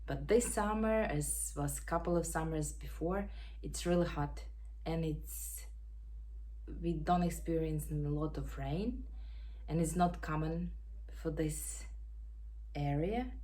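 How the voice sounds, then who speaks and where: A woman with a Ukrainian accent hosting a knitting podcast from home, chatting casually and pausing to search for words in English. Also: low voice, warm tone, slight background noise, rough recording. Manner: unhurried, searching for words, non-native grammar. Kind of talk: casual show-and-tell chat. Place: home in Denmark. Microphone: camera.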